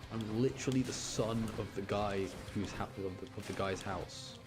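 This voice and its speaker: A man's voice: lowish voice, moderate pace, music in the background. A man giving casual, chatty live game commentary, thinking aloud and explaining choices to his chat in an apologetic way. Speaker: game streamer talking to chat.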